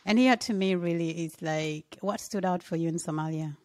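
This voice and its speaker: Low-voiced woman host, dry close sound. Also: normal speed, no room sound. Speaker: interviewer, host. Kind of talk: conversational interview.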